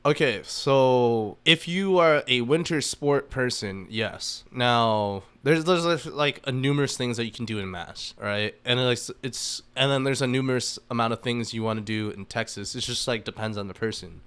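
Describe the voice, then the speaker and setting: A man's voice: mid-range voice, moderate pace, few pauses, a bit bright, smooth. An American man in his early twenties chatting casually as a podcast guest, informal and sweary, often checking in with the listener. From Massachusetts, United States. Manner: informal, swearing, frequent rhetorical "right?". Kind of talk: casual chat.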